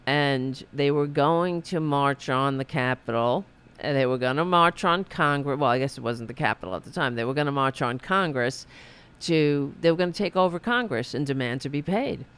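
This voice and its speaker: A man's voice: medium pitch, normal speed, fairly bright tone, smooth. A man hosting a podcast, delivering an impassioned political commentary monologue with many restarts. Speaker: podcast host.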